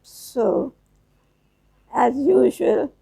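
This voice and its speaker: A woman in her nineties from South Africa, reminiscing slowly as an interview guest, with halting restarts. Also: high-pitched, lively intonation, dark tone. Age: 90s. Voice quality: gravelly, husky. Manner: slow, halting storytelling with restarts.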